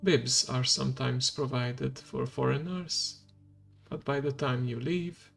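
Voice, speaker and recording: A man whose first language is Hungarian, streaming as a VTuber in a soft ASMR style, speaking slowly and quietly and slipping into a whisper. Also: medium pitch, steady tone. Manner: slow, hushed and gravelly, drifting into whisper. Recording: faint background music.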